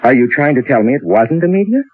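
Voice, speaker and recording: A man's voice: mid-range voice, sing-song, fast talker, husky, no echo, faint music behind. An actor playing an earnest, inquisitive male writer in an old-time radio drama, with a dramatic, projected delivery. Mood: concerned, curious. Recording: old radio recording, thin narrow band.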